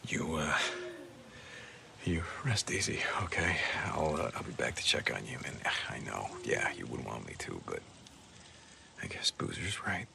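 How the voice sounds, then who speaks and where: A gravelly, guarded biker survivor. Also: male voice, a bass voice, sing-song, measured pace, noisy background, exaggerated voice. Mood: subdued, tender. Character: gruff, weary male biker survivor, guarded yet loyal. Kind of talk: video game cutscene acting.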